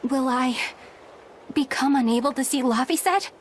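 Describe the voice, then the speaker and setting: Earnest high-voiced young exorcist woman, acted. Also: moderate pace, a little hiss or hum, close to an everyday voice. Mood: anxious resolve. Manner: sincere, earnest. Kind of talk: dramatic video game voice acting.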